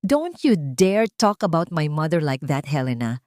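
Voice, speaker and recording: A gentle, humble wife from a storytelling drama, voiced by a female narrator. She speaks earnestly, with restraint and a firm core. The recording is dry, with a lowish pitch. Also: sing-song, a little slow, no echo. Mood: firm, angry.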